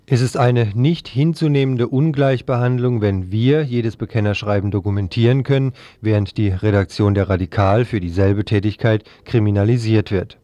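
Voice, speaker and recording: Mellow-voiced man reading a news report. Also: on the low side, moderate pace, hardly any pauses, highs cut off, a little hiss or hum. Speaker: radio news presenter.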